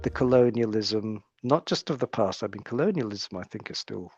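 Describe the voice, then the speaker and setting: A man's voice: lowish voice, animated, normal speed, darkish tone, highs cut off, faint music behind. A British man speaking as a psychiatrist guest in an interview conversation, explaining his points in a thoughtful way and often restarting his phrases.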